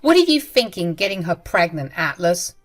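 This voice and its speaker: A woman voicing a scornful, spiteful middle-aged mother-in-law who talks loud and shrill. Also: very animated, moderate pace, faint music behind. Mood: furious.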